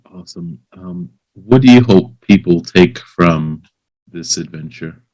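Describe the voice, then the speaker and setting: Hesitant, slow, deep-voiced man. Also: darkish tone, rough recording. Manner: hesitant, halting delivery. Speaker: interviewer. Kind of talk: casual interview.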